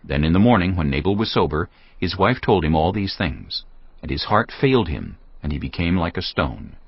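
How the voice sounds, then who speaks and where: A man narrating scripture from a script in a measured, solemn storytelling manner. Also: low voice, big pitch swings, husky, no echo, dull audio.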